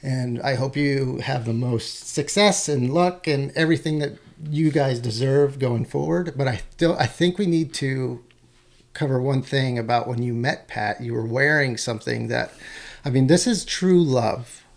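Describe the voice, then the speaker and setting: Gravelly-voiced male podcast host. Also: mid-range voice. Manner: halting, restarts and repeats words. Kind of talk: conversational interview.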